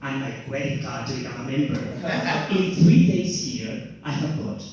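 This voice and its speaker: A man's voice: mid-range voice, a little slow, darkish tone. A young man from Spain with a Spanish accent, performing a playful, self-mocking stand-up routine on a comedy club stage. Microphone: handheld stage mic. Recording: very echoey room, distant mic, faint noise.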